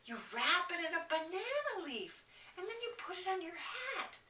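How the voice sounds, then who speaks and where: A woman's voice: very high voice, very animated, normal speed, pushed and bright, echoey room, far from the mic, slight background noise, pitched above the performer's own voice, full character voice. A woman voicing an exasperated mother scolding her little boy in a children's story. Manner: exasperated, instructive. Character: mother, fond but scolding.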